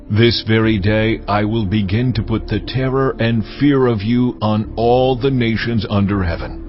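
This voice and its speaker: A man's voice: on the low side, highs cut off, lightly acted. A man playing a solemn, commanding God in a dramatized scripture reading. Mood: commanding, forceful. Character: God, commanding deity addressing his people.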